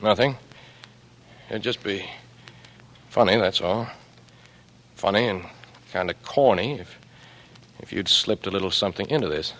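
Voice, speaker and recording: An actor playing a cocky, suspicious young blackmailer in an old American radio drama, talking in a terse, wary way. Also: male voice, fairly low pitch, measured pace, long pauses, a bit bright. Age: young adult. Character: cagey, brash young small-time blackmailer, suspicious and cocky. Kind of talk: 1940s radio drama acting. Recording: noisy vintage radio broadcast recording.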